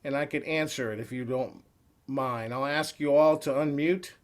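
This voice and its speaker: A man in his forties giving an upbeat, salesy screen-share walkthrough as a software trainer on a computer call. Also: medium pitch, steady tone, moderate pace.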